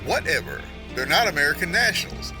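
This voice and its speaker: Man acting an indignant, animated TV pundit. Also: on the high side, fairly quick, over music, poor audio. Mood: indignant, sneering. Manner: accusatory, incredulous ranting. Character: indignant, suspicious male media pundit. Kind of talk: broadcast debate in an audio drama.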